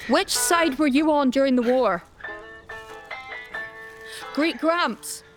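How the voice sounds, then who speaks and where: An actress playing an outspoken teenage great-granddaughter in an audio drama, with casual, blunt, questioning speech. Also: female voice, high-pitched, slow pace, faint music behind. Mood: indignant. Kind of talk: naturalistic audio drama acting.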